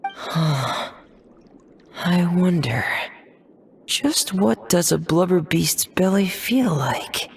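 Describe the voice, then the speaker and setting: A woman's voice: on the low side, lively intonation, very slow, creaky, long pauses, a little mellow, exaggerated voice. A woman voicing a laid-back, curious young game character who muses hesitantly and unhurriedly. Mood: curious wonder. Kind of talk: video game character dialogue. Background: ambient noise.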